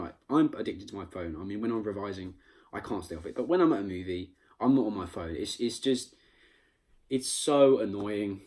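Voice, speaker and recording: A man's voice: mid-range voice, warm tone. A young man with a London accent talking casually and emphatically, with some swearing, as an amateur film reviewer in a vlog.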